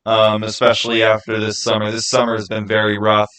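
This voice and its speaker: A man's voice: lowish voice, completely flat delivery, a little fast, fairly bright tone, smooth. A man hosting a livestream, chatting casually and answering viewers in a rambling, conversational way. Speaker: livestream host.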